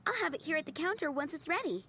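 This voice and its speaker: A woman's voice: high voice, lively intonation, fast talker, pushed and bright. An actress voicing a friendly, playful young female waitress with a polite service manner, in video game dialogue.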